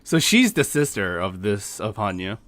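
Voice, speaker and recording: A man's voice: on the low side, very animated, normal speed, a bit bright, dry recording. A man giving casual, informal explanations in conversation as a host. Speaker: host explaining game characters. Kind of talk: casual chat commentary.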